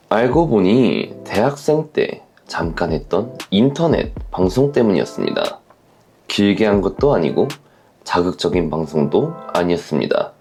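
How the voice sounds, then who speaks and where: A man hosting a letter-reading show, delivering scripted, reflective advice commentary in a polite, measured, earnest manner. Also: deep voice, gravelly, darkish tone.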